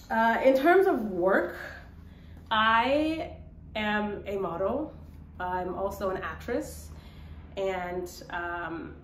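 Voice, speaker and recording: A woman's voice: fairly low pitch, a little hiss or hum. A young woman talking reflectively and casually to camera in a personal vlog. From born in Ethiopia to South Sudanese parents, grew up in Minnesota, United States. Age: young adult. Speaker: vlogger, model and actress. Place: small indoor room by a window. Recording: echoey room.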